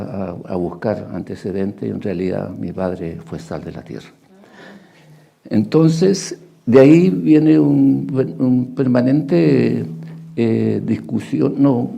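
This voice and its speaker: A man who grew up in Argentina, Paraguay and Chile, preaching a sermon with Bible readings in halting, reflective short phrases. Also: mid-range voice, gravelly, warm tone.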